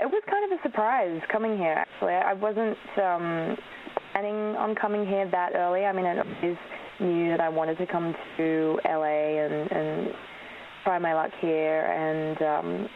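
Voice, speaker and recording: A woman's voice: on the low side, measured pace. A young Australian actress in her twenties, whose first language is Polish, chatting casually in an interview with lots of ums and laughs. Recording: tinny phone-like radio line with faint hiss.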